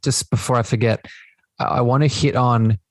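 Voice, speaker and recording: A man talks as the host of an interview podcast, with a medium-pitched voice and very little room sound. He is earnest and affirming, explaining at length and repeating phrases to stress them. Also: fairly even intonation, moderate pace, no echo.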